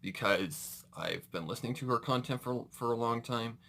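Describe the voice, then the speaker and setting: A man's voice: mid-range voice, normal speed, creaky, a bit bright, a little hiss or hum. A man hosting a reaction video with casual, rambling, exclamatory commentary.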